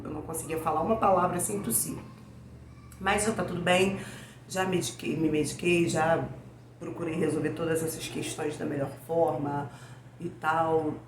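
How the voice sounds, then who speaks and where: A Brazilian woman with a low, hoarse voice, worn thin by a cold. She talks to her viewers in a chatty, casual way. Her voice carries in an echoey room with a faint hiss behind it. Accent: Brazilian Portuguese. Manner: chatty, addresses viewers as "gente". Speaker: book channel host. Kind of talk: casual book review vlog.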